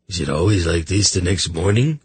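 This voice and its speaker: A man's voice: deep voice, brisk, husky, dry recording. A man voicing a miserable, self-pitying hungover man of royal rank in a storybook, plaintive and moaning weakly. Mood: suffering, plaintive.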